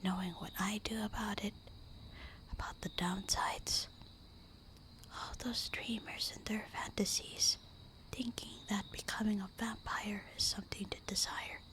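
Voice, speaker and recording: A woman's voice: mid-range voice, fairly even intonation, a little slow, fairly bright tone, natural voice, poor audio. A woman playing a loving, melancholy vampire wife in a tender, intimate whispered ASMR roleplay monologue. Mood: wistful, resigned. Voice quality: breathy, unvoiced whisper.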